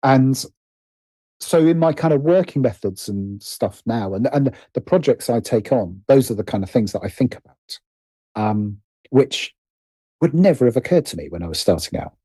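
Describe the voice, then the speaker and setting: A man's voice: mid-range voice, expressive, normal speed, dark tone, slightly rough, no echo. A middle-aged British man speaking reflectively as an interview guest, often stopping to rephrase himself. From Britain. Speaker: guest, writer and producer.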